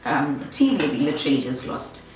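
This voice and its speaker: A young woman with a Botswana accent talks through a makeup routine in a small bedroom, chatty and animated, forever saying "like". Her voice sits low, and it bounces around a very echoey room from a little back from the mic. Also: moderate pace, recorded from a distance, slight background noise. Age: young adult. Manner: chatty, lots of "like" and "my girl", animated storytelling. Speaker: beauty vlogger. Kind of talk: casual chat while doing makeup.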